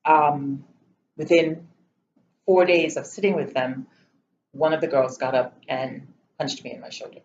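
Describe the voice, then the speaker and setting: A woman's voice: low voice, a little mellow. Reflective Jamaican woman, muffled recording. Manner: reflective, measured, candid. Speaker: interviewee. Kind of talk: personal storytelling interview. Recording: slightly muffled, faint room noise.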